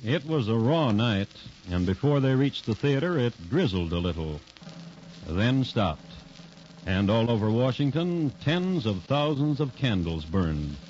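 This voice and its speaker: An actor playing a dry, deliberate American crime storyteller hosting a 1950s radio show. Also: male voice, mid-range voice, big pitch swings, darkish tone, soft background music. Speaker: host and narrator. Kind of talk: 1950s radio scripted narration. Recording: dull 1950s radio recording.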